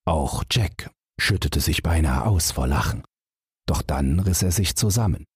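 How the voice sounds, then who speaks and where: Low, very husky male storyteller. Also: no room sound, clean studio sound. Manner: measured, expressive storytelling. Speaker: audiobook narrator. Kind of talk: scripted bedtime fairy-tale narration.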